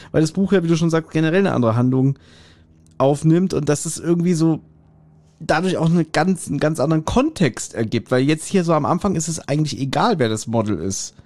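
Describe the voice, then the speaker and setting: Mellow man chatting, slightly high voice. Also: no echo. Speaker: podcast host. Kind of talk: casual chat recapping an audio drama.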